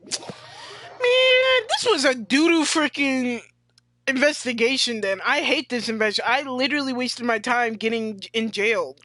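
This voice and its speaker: A woman's voice: sits high, expressive, a little slow, bright, forceful tone, no room sound. A teenage girl giving casual playthrough commentary, slangy, sweary and exasperated. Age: teens. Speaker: game streamer. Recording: game music under the commentary.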